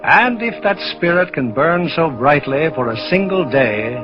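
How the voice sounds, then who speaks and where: Higher-pitched man, formal vintage radio host. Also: expressive, measured pace. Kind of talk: formal scripted 1940s radio address. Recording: dull old radio recording, music behind. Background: music.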